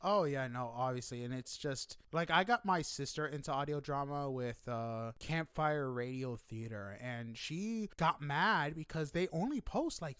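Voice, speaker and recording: A man's voice: high voice, fairly bright tone, no room sound, studio quality. A man hosting a casual interview, chatting in an enthusiastic, emphatic way. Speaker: podcast host interviewing a guest.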